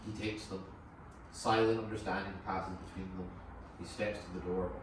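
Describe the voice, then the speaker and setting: A man's voice: low voice, flat delivery, moderate pace. A man narrating scene directions from a film script at a table read, in a dramatic scripted style. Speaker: narrator reading stage directions. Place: echoey room at a group table read. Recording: very echoey, distant, noisy room.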